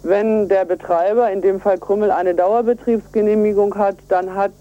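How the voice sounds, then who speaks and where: A woman's voice: medium pitch, fairly even intonation, normal speed. A woman being interviewed on the radio over a telephone line as an environmental activist, explaining step by step in an earnest, emphatic manner. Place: on a telephone call to a radio show.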